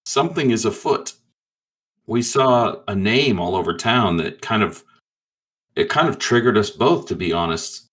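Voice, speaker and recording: Low slow guy roleplaying, halting and laid back, swears a bit. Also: expressive, a bit bright. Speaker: tabletop roleplay player. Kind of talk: casual in-character storytelling.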